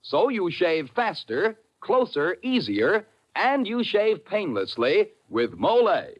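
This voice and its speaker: Punchy, lively 1940s radio pitchman. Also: slow. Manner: emphatic, repetitive salesman delivery. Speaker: radio announcer reading sponsor ads. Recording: dull old radio broadcast recording.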